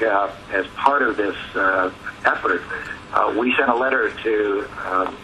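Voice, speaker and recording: Guy on a press conference call, bright forceful voice, halting and measured, bit of line noise. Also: mid-range voice. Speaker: advocacy group spokesman on a press call. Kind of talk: press teleconference remarks. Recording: noisy conference phone line.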